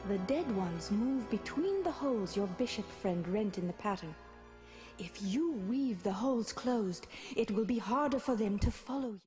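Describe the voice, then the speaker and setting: A woman voicing a swan who was once a noblewoman and is a loving, exiled mother in a video game, speaking in solemn, measured, formal phrases. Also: a little high, animated, mellow, faint music behind. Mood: instructing. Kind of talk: scripted video game voice acting.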